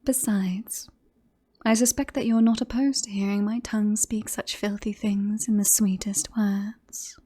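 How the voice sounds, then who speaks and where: A woman playing a smug, romantic royal in an ASMR roleplay, teasing and sultry with breathy, whispery phrases. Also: medium pitch, fairly even intonation, unhurried, dark tone, lightly acted. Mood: teasing, flirtatious.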